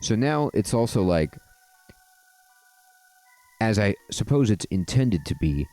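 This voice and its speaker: Laid back gamer guy rambling wry stories, deepish mellow voice, real slow, dry close sound. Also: very animated, no room sound, soft background music. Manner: rambling, drawn-out phrasing with wry asides. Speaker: gaming host. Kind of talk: casual let's-play chat and storytelling.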